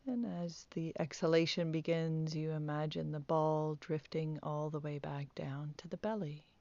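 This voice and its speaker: A woman teaching guided meditation, speaking softly with calm, gentle instructions and long pauses. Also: sits low, dry recording, lo-fi sound.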